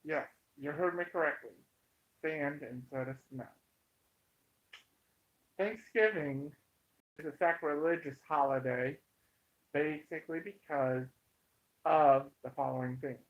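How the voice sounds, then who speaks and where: Slow-talking American man, slightly high voice. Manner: emphatic, halting, repeats himself for effect. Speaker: online commentator. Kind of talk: informal opinionated monologue to viewers. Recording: dull, muffled lo-fi sound.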